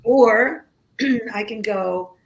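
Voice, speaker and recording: A woman whose first language is Greek, speaking as a guest in a casual radio conversation, slow and halting with many pauses and restarts. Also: fairly high pitch, poor audio.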